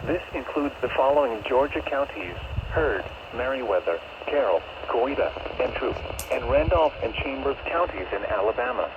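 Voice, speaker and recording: A man reading a formal, scripted alert test announcement in a measured, synthetic-sounding way over weather radio. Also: medium pitch, lively intonation. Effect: radio broadcast sound. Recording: muffled, noisy weather radio broadcast.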